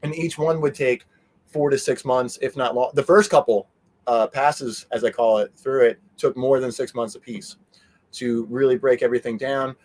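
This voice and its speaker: A man's voice: mid-range voice, fairly even intonation, moderate pace, darkish tone. Casual, rambling man in an interview. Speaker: interview guest.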